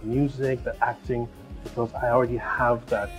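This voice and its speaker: A man's voice: medium pitch, flat delivery, normal speed, soft background music. Man answering interview questions. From Ghana. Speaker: musician and actor, interview guest.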